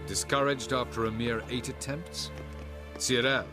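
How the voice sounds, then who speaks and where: An actor playing a calm, aloof male elven sage who mentors a young woman, speaking in a measured, stern way in a game cutscene. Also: medium pitch, over music, natural voice. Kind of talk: video game cutscene acting.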